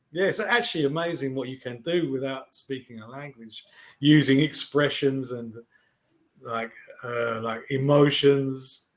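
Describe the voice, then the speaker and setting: A man chats loosely on a video call, laughing easily and trailing off into fillers. His voice sits at an ordinary, middle pitch for a man. He sounds like an online tutor in a casual lesson. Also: measured pace. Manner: relaxed and chatty, laughs often, trails off with fillers.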